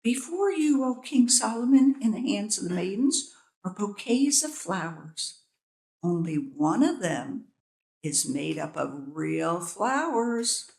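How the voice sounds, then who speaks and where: A woman's voice: mid-range voice, big pitch swings, slow pace, creaky, a little mellow, exaggerated voice. A woman voicing a stately queen who speaks formally and grandly. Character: stately visiting queen posing a riddle. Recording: echoey room.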